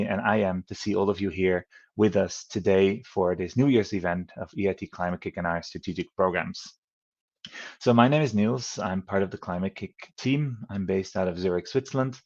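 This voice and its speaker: Male moderator from the Netherlands, 30s, low gravelly voice, friendly and rambling, muffled video-call sound. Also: a little mellow. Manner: friendly, rambling with many fillers. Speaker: event moderator. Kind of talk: online event hosting.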